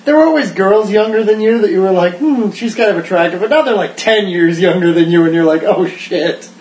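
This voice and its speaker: A man's voice: high voice, fairly quick, a little mellow. A man in his thirties, a podcast guest, talking with wry humor and frequent laughs. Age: 30s. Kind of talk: casual chat. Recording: echoey room, faint noise.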